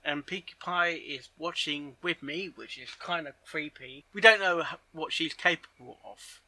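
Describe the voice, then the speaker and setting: A man hosting a reaction video, commenting excitably, with loud announcements and halting, muttered asides. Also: on the high side, dry recording. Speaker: host of a reaction channel.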